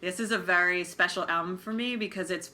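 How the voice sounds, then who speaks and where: Bright-voiced woman telling anecdotes. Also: on the low side, brisk. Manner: animated anecdote teller, laughing mid-story. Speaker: podcast panelist. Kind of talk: casual chat.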